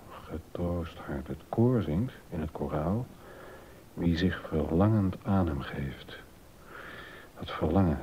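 Musing composer, low and unhurried. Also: male voice. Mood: absorbed, musing. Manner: halting, self-correcting, musing. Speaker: actor in a docudrama. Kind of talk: Dutch radio drama acting, thinking aloud. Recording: dull lo-fi sound with rumbling noise.

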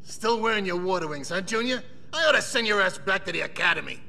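A man's voice: sits high, expressive, fast talker, creaky, bright, forceful tone, exaggerated voice. An actor playing a sarcastic, crude veteran policeman in a video game, with a mocking manner. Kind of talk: video game cutscene acting.

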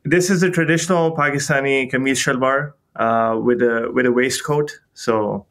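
A man's voice: medium pitch, moderate pace, fairly bright tone. A man who runs an agency, a podcast guest, answering in a friendly, easygoing way over a video call. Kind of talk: casual interview answers. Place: small office room. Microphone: video call webcam.

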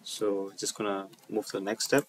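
A man presenting an unscripted coding walkthrough over a screen recording, speaking haltingly and often repeating and rephrasing his phrases. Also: lowish voice, flat delivery. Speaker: tutorial presenter.

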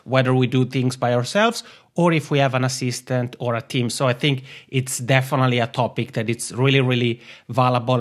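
A man with an Italian accent, a podcast guest chatting casually and laughing often. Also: mid-range voice. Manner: friendly, laughs often while talking. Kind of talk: casual interview chat. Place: lives in Finland.

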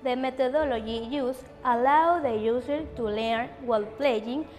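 High-voiced woman recounting her studies. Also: a little slow, darkish tone, faint music behind. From Ecuador. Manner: simple, recited. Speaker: student giving testimonial. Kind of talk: prepared testimonial.

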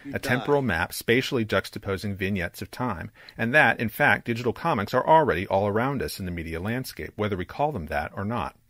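A man narrating a scripted academic video essay in a measured, articulate, explanatory way. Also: on the low side, fairly bright tone, no room sound. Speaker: presenter, digital comics creator. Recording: music under the voice. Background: music.

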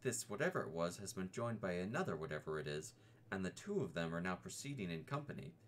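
A slow, thoughtful teddy bear, as a man reads him in a storybook, earnest and gravely pompous. His deep voice rises and falls with lively swings, and a faint hiss sits behind him. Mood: serious. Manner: ponderous and earnest, mock-wise. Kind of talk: storybook reading.

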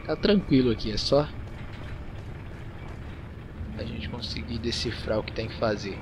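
Casting: Brazilian male gamer, mid-pitched voice, casual play-by-play, clear noise behind him. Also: a little fast. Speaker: gaming YouTuber. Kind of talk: casual gameplay commentary. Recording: noisy, game sound behind voice.

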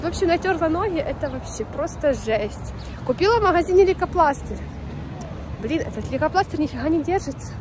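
Young female vlogger, high-pitched, informal and chatty, a bit sniffly with a cold, recorded on a noisy street. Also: animated, a little fast, a crowd in the background. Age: young adult. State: slightly unwell with a runny nose. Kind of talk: casual walking vlog chat. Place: outdoors on a city street beside traffic. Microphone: phone at arm's length. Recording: clear outdoor street and traffic noise.

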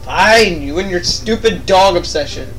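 A man's voice: high voice, expressive, unhurried, a bit bright. A man playing a video game with casual, sarcastic commentary. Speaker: let's play player. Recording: distant mic, game music behind. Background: video game music.

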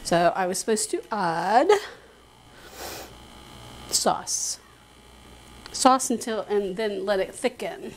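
A woman's voice: takes it slowly, long pauses, slight background noise, poor audio. A middle-aged woman chatting casually as she cooks in a home kitchen, recorded by a camera across the room. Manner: chatty, talks to a grandchild in a high sing-song voice. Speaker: home cook and show regular. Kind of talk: casual cooking vlog chat. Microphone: camera on a tripod across the kitchen.

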